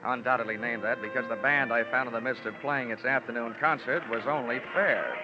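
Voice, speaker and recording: An actor playing a cocky, wisecracking American insurance investigator, wry and theatrical, in a 1940s radio detective drama. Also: male voice, medium pitch, a little fast, over music, natural voice. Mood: wry, deadpan. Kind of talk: 1940s radio acting, first-person detective narration. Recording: dull old radio recording.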